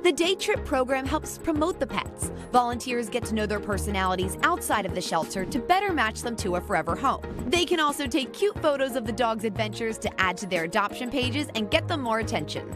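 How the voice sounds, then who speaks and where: A woman's voice: on the high side, animated, brisk, hardly any pauses. A young woman narrating a scripted TV feature in a polished, upbeat broadcast delivery. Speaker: TV reporter and presenter. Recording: music bed behind voice.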